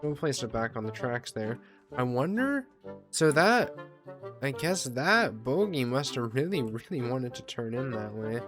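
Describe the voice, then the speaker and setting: A man's voice: mid-range voice, big pitch swings, unhurried. Offhand man rambling over background music. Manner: rambling, offhand, self-deprecating; casually sweary. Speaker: gaming video host. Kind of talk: casual gameplay commentary.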